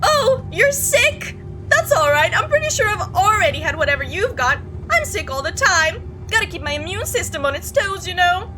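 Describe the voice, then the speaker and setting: A woman's voice: very high-pitched, sing-song, normal speed, noisy background, voice pushed up. A woman voicing a talkative, oblivious and creepy young male bus passenger in a visual novel, rambling on breezily with casual menace. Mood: breezy, chatty. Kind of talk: acted visual novel dialogue.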